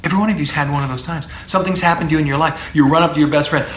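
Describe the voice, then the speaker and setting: A middle-aged man with a baritone voice, lecturing as a speech professor on public speaking, animated and emphatic with low, punchy asides. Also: racing, echoey room, slight background noise.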